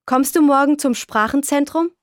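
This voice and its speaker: A woman playing a helpful young friend who fills in a form for a caller, speaking slowly and clearly in a scripted textbook dialogue. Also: high-pitched, no echo, clean studio sound, natural voice. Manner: slow, clear and patient.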